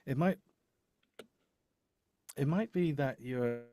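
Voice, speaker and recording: A man chatting casually and haltingly on a video call, often muttering to himself as he works. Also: medium pitch, dark tone, no room sound. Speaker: co-host and audio producer. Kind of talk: casual live troubleshooting chat. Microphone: microphone on a video call.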